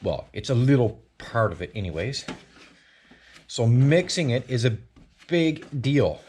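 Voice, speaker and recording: A man's voice: mid-range voice, lively intonation, measured pace, darkish tone, a little hiss or hum. A man with a Newfoundland accent hosting a casual do-it-yourself car repair video, talking through his work with lots of fillers and restarts. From Newfoundland, Canada. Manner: chatty, rambling, with frequent fillers and restarts. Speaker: channel host. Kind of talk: casual DIY garage tutorial. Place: home garage.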